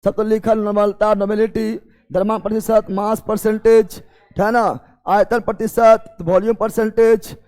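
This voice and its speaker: A man's voice: high-pitched, level tone, normal speed, darkish tone, clear, resonant tone, faint music behind. A man with a Bihari accent teaching an exam coaching class, repeating key terms and putting check questions to his students.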